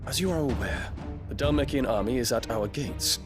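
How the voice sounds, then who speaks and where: An actor playing a dutiful, troubled princely knight in a video game drama, formal and restrained. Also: male voice, mid-range voice, expressive, over music, close to an everyday voice. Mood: grave. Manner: formal, measured.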